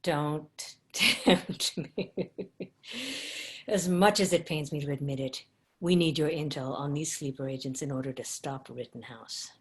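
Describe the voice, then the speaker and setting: A woman acting a stern government agent in a table read, dry and measured. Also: sits low.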